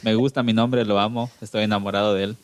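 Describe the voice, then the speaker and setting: A man's voice: fairly low pitch, level tone, fast. A Guatemalan man in his twenties, a guest in a casual interview, talking nervously and laughing often as he jokes about himself. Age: 20s.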